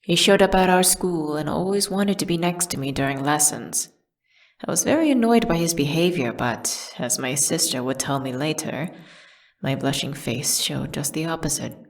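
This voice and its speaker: Low-voiced witch prisoner, acted by a woman. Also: lively intonation. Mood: wistful. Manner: measured, theatrical, often dropping into breathy whispers. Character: world-weary guilty witch prisoner confessing her story to a warden. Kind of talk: dramatic ASMR roleplay storytelling.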